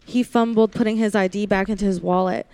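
A woman's voice: medium pitch, steady tone, quick pace, darkish tone, clean tone, no room sound, lightly acted. A woman playing a frightened young working woman held by a killer in a radio thriller, pleading, faltering and sometimes defiant, also narrating. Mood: tense narration. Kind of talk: classic radio thriller acting with first-person narration.

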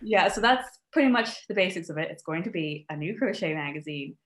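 A chatty young woman with an American accent, her voice sitting a little low, talking easily as a podcast guest. She pads her thoughts with ums and quick laughs. The sound is roomy, with a clear echo around her. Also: animated. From United States. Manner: chatty, laughs often, many ums and restarts. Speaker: podcast guest, crochet designer. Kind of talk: casual interview chat.

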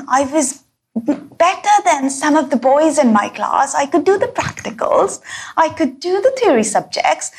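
A woman, a mechanical engineer, telling her story on a panel in an earnest, impassioned way. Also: sits high. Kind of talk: panel discussion, personal story.

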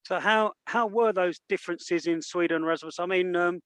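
A man co-hosting a football podcast, asking interview questions in a measured way with fillers and restarts. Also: sits high, a bit bright, dry recording. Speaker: podcast co-host.

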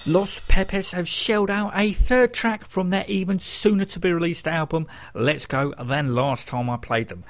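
A man's voice: high voice, moderate pace, few pauses. A man hosting a radio music show in a casual, informal way, with self-correcting asides.

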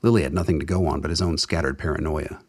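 Very deep, husky male narrator. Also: fairly even intonation, fast, no echo. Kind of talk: dramatic scripted narration of a radio play.

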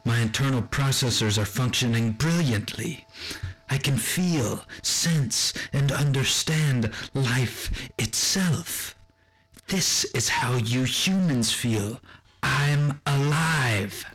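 A man's voice: mid-range voice, slow, a bit bright, husky, rough recording. A man voicing an earnest, wondering, newly sentient machine in a radio drama, with formal, stilted robotic phrasing. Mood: awed. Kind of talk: scripted radio drama acting.

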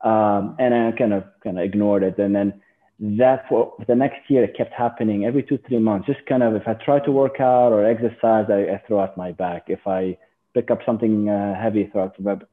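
A man from Lebanon, a physician guest in an interview, talking in a halting way with many fillers and restarts. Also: lowish voice, steady tone. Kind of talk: casual interview. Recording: tinny phone line.

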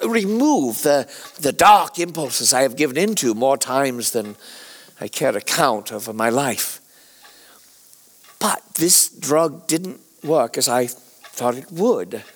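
An actor playing an anguished, guilt-ridden Victorian gentleman scientist in a radio drama, confessing haltingly and theatrically as he nears death. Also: mid-range voice, expressive, breathy edge. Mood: remorseful, confiding.

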